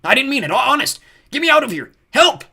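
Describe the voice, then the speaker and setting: A man's voice: high voice, big pitch swings, fast talker, gravelly, pushed and bright, slightly rough, voice pushed up, full character voice. A man voicing a cheeky little hobgoblin who yelps and blusters through a storybook tale. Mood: panicked. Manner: yelping, blustering. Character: cheeky, panicky hobgoblin, small male creature.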